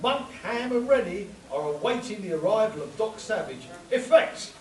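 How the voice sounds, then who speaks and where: Very high-pitched theatrical male announcer. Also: lively intonation, moderate pace, a little mellow. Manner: theatrical, jokey. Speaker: announcer and narrator. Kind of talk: live spoof radio-show narration. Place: convention hall before a live audience. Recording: echoey distant mic, noisy room.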